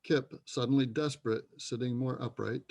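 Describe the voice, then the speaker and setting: Creaky-voiced man narrating stage directions. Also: medium pitch, fairly even intonation, normal speed, darkish tone. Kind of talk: scripted narration in a readers' theater play.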